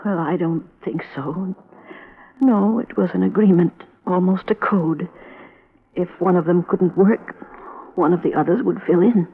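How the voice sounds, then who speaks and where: Husky, anxious grieving mother. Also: lowish voice. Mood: fretful, grieving. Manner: halting, fretful, repeats words. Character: anxious, grieving parent of an adult daughter. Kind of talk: 1950s American radio crime drama acting. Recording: thin, phone-like vintage radio recording.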